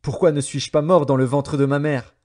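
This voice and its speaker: A man reading scripture as an audiobook narrator, in a measured, declamatory and solemn style with dramatic phrasing. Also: on the high side, steady tone, a little mellow.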